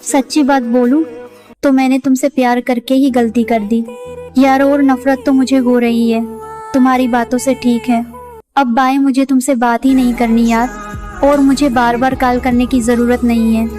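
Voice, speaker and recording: A woman plays a young girlfriend in a breakup drama with a high, mellow voice that stays quite flat. She is defensive and exasperated as she answers her boyfriend. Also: moderate pace, lightly acted. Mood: irritated, cutting. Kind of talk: dramatized breakup phone conversation in Hindi. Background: background music.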